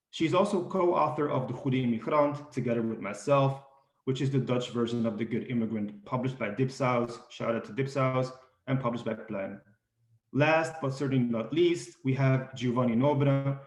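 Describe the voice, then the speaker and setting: A man's voice: mid-range voice, a little mellow. Man moderating in an echoey room. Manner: hesitant, many ums and restarts. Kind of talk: panel introduction.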